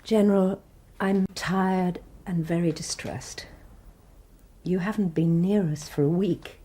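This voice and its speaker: An actress playing a proud, weary nun in charge of a mission, with a clipped, restrained manner, in a radio drama. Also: female voice, lowish voice, slow, mellow.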